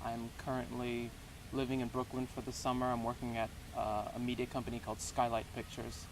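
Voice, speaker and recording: A man in his twenties from Texas, answering interview questions in a relaxed, rambling way with lots of hedges. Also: mid-range voice, a little fast. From Houston, Texas. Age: 20s. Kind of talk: casual unscripted interview. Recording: noisy background.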